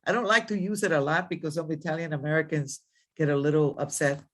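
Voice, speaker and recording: A woman's voice: sits low, fairly even intonation, fast talker. Older woman, rambling ceremonial speech. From Dominican Republic. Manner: warm, rambling, many fillers and restarts. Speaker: college president. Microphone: video call.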